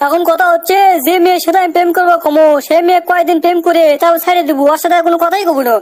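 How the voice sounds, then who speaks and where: Scheming cartoon dad, played by a man, middle-aged, nagging, very high pitched-up voice. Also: little pitch movement, very fast. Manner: nagging, wheedling, colloquial. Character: sly, resentful middle-aged village father, scolding. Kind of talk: comic cartoon dialogue.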